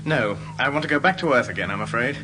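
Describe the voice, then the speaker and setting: A man's voice: mid-range voice, expressive, fast, music in the background, lightly acted, poor audio. A man playing a bewildered, indignant ordinary Englishman in a radio comedy, with an English accent. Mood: curious. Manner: indignant, incredulous. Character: exasperated, bewildered ordinary Englishman lost in space.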